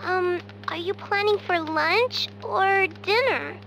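A woman's voice: extremely high pitch, slow pace, sharp tone, slight background noise, exaggerated voice. A woman voicing a sweet, eager, wide-eyed young girl in a video game. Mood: excited, curious.

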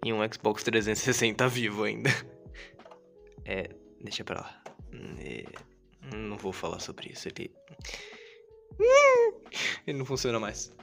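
A young Brazilian man filming a casual house tour on a handheld phone, joking and self-mocking, swinging between low mutters and loud outbursts. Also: wildly swooping pitch, a little slow, creaky, long pauses. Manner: playful, self-mocking, drops to mutters then shouts. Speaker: YouTuber giving a house tour. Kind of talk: casual vlog, joking to the viewers. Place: home kitchen and bedroom, indoors. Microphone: handheld phone camera. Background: lo-fi music playing.

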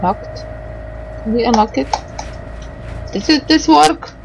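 Girl gamer doing a lets play, kinda high voice, takes her time, mutters and exclaims, noisy game sound behind. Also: takes it slowly. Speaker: gaming commentator. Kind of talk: casual let's play commentary. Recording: clear background noise and game ambience. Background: video game ambience.

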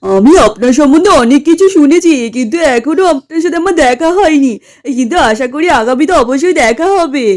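A woman's voice: high-pitched, lively intonation, fairly quick, few pauses, a little mellow. A woman voicing an eager, fussy husband and small businessman in a comedy cartoon, speaking emphatically. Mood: eager, obliging. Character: eager, easygoing husband and lungi-selling businessman. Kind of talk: exaggerated comedy cartoon acting.

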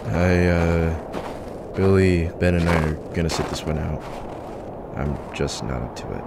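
A downcast, weary father acted by a man, very deep and gravelly. The comic-dub performance has loud noise behind it. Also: fairly even intonation, unhurried. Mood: dejected. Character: downcast, tired father declining an outing.

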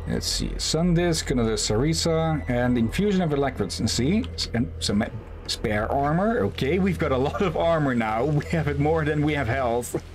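A man's voice: a little high, moderate pace, a little hiss or hum. A man streaming a game, chatting casually and chuckling as he tells stories. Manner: relaxed, chuckling storyteller. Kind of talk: casual gameplay commentary. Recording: game music behind.

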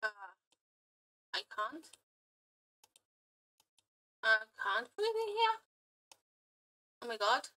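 A woman streamer chatting casually to viewers in a gushing, flirty fangirl manner, halting and repeating her words as she goes. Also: sits high, big pitch swings, sharp tone, low-quality recording. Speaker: aspiring VTuber and streamer. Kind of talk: casual reaction chat to viewers.

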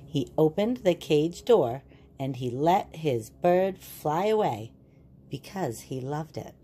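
A woman reading a children's picture book aloud and explaining it in a deliberate, teacherly way. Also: low voice, expressive, dark tone, breathy edge.

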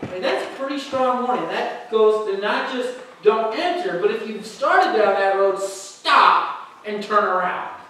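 A man's voice: sits high, animated, a little slow, sharp tone, slight background noise. A middle-aged man preaching a sermon in a church hall, emphatic and conversational, often checking in with "right?". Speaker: pastor. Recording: very echoey hall, heard from a distance.

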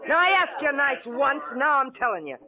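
An actress playing a tough, sardonic middle-aged woman who runs a rough barroom, brassy and bossy in 1940s radio drama style. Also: high voice, big pitch swings, normal speed, bright, forceful tone, heavily acted. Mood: stern, threatening. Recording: old radio recording, crowd noise behind.

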